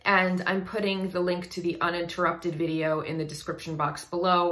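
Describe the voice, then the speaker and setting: A woman vocal coach with a low speaking voice, giving an analytical, enthusiastic commentary on a song in a reaction video. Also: fairly bright tone. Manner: analytical, enthusiastic, talks over paused song playback.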